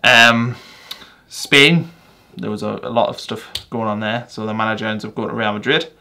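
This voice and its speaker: A man's voice: lowish voice, fairly even intonation, normal speed, bright, forceful tone, a little hiss or hum. A young man with a North East English accent, giving a casual, rambling football match reaction with fillers and self-corrections. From England. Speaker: football fan vlogger.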